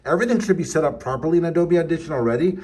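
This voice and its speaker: A man's voice: fairly high pitch, racing, a little mellow. Playful man giving a casual how-to tutorial. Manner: playful, chatty, talks directly to the viewer in imagined questions. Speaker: instructor presenting a how-to video.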